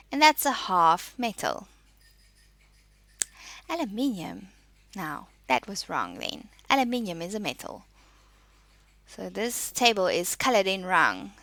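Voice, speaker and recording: A woman giving a spoken lesson as a teacher, reading out and explaining in short, deliberate, instructive statements. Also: medium pitch, sing-song, bright, forceful tone, dry recording.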